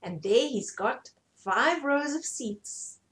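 A woman's voice: high-pitched, lively intonation, slow, fairly bright tone. A middle-aged woman hosting storytime, reading and explaining a children's story expressively to small children. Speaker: storytime host. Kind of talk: read-aloud children's bedtime story. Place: small furnished room indoors.

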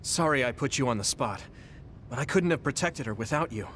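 A man's voice: mid-range voice, expressive, a little fast, a bit bright, a little hiss or hum, lightly acted. An actor playing a kind, steadfast young male soldier in an anime game, earnest and reassuring.

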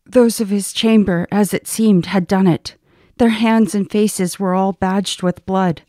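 A woman's voice: mid-range voice, steady tone, measured pace, mellow, no echo, studio quality, natural voice. A woman playing a sombre, uneasy Scottish noble in a Shakespearean radio drama.

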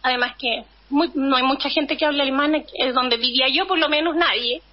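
A woman in her fifties from Chile, a guest telling her life story in a relaxed conversation, acting out scenes from her childhood. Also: high-pitched, fairly quick, dull audio. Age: 50s. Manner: animated storytelling, acts out her younger self. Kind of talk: casual interview conversation.

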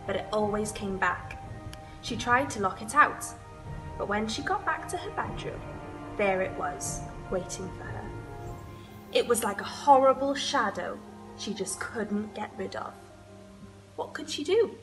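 A woman's voice: medium pitch, lively intonation, unhurried, music in the background, low-quality recording. A young woman storyteller reading a children's picture book expressively. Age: young adult. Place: indoors, room with wood-panelled wall and sofa.

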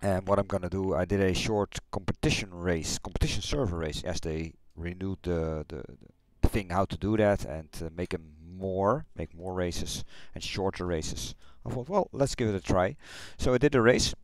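A middle-aged man with a Dutch accent, chatting loosely through a replay of his racing game run, halting and correcting himself as he goes. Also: low-pitched, no room sound. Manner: halting, rambling, self-correcting. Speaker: sim racing streamer. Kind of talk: casual replay commentary. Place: home gaming room.

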